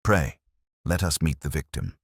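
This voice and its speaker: A man's voice: a bass voice, unhurried, breathy edge, no echo, clean studio sound, heavily acted. A man voicing a keen, coolly confident detective with eloquent, pompous phrasing in a storybook reading. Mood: courteous, measured.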